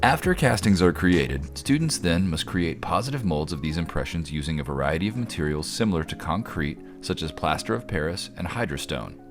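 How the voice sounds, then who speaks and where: A man reading scripted promotional narration in a measured, formal way. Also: low-pitched, big pitch swings. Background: music under the voice.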